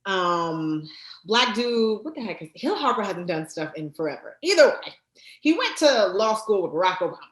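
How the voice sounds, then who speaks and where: A woman guest in a casual interview, talking with lively energy, many fillers and quick restarts. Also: mid-range voice, roomy sound. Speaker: guest, card deck creator.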